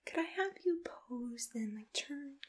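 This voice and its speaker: A woman whispering breathily in a soft ASMR roleplay, with a gentle, reassuring, attentive manner, as if giving personal attention to a client. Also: high voice, lively intonation, a little slow. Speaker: ASMR roleplay host as a monster matchmaker.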